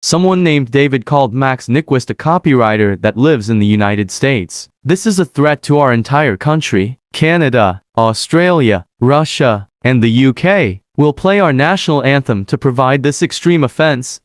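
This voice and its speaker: A man's voice: medium pitch, lively intonation, moderate pace, few pauses, dry recording. Man reading an emergency alert, dry close sound. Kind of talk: scripted emergency alert announcement.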